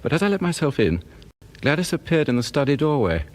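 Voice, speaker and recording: A man's voice: a little high, very animated, a little fast. A British man playing an anxious, suspicious professor who narrates a radio drama in a formal, brooding way. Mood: troubled, brooding. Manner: formal, brooding, swinging in emphasis. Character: anxious, suspicious professor narrating his own unravelling marriage. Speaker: narrator and lead actor.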